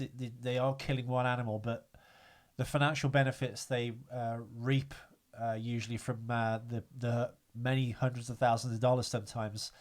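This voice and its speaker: Rambling man chatting on a podcast. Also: mid-range voice. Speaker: podcast host. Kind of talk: casual podcast chat.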